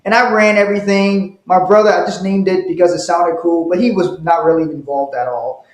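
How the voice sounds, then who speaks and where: A man's voice: high voice, fairly even intonation, fairly quick. A middle-aged man from Liberia, a guest telling stories in a casual interview and laughing now and then. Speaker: guest, wealth manager. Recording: roomy echo.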